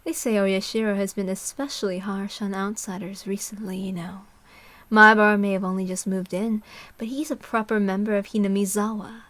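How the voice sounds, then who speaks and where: A woman's voice: medium pitch, steady tone, moderate pace, a bit bright, close to an everyday voice. A woman voicing a sly, refined female clinic worker who teases in a genteel, cryptic way. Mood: sly, ominous.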